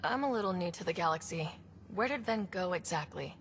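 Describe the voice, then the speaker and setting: An actress playing a determined, confident young human explorer and leader in a video game, speaking directly. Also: female voice, on the low side, expressive, brisk, a little hiss or hum. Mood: firm, questioning. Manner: direct, assured. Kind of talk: video game cutscene dialogue.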